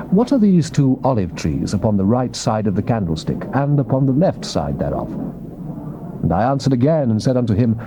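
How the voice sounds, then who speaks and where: A man with a British accent reading scripture as a solemn, theatrical dramatized narration. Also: medium pitch. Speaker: Bible narrator. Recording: noisy old cassette tape recording.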